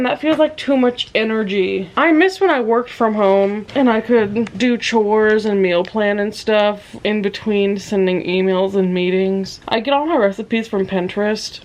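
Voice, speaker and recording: A woman in her twenties, a vlogger chatting casually from bed, low-key and musing with frequent soft laughs. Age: 20s. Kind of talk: casual confessional chat. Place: lying in bed at home.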